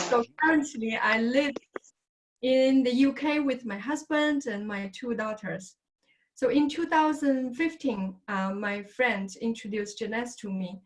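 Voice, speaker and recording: Woman hosting a video call, high voice. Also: rough recording. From China. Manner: friendly, unhurried, with many fillers. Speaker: host of an online business presentation.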